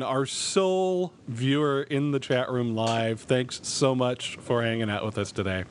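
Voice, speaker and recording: Middle-aged man, informal podcast host, mid-range pitch, recorded with some low rumble in the background. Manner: informal, self-deprecating. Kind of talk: casual podcast hosting. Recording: clear background noise with rumble.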